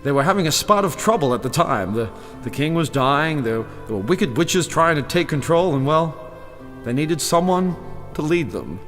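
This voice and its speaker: A timid old wizard, who is really a fraud from the circus. His slightly high voice swings widely as he stammers and pleads. It sits in a long reverb with music behind, in the style of a fantasy audio drama. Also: lively intonation, normal speed, a bit bright. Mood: sheepish reminiscing. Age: older. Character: timid old humbug wizard, former circus balloonist from America. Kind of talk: full-cast fantasy audio drama acting.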